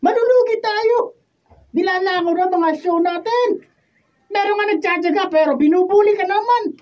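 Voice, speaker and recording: A fretful, scolding female show boss for a radio drama. Her voice is extremely high, and it sounds dull and far from the microphone. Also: moderate pace, a little mellow. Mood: scolding, worried. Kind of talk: Filipino radio drama acting. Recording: distant, dull lo-fi studio recording.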